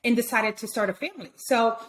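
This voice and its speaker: A woman's voice: fairly high pitch, fast talker, fairly bright tone. Brazilian woman chatting, Portuguese accent. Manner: chatty, many fillers and restarts. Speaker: guest photographer. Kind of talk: casual interview chat.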